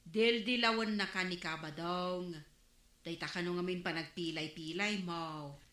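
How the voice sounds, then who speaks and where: Bright, teasing Ilocano village woman. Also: on the low side, a little slow, roomy sound. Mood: complaining. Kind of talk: Ilocano radio comedy drama acting.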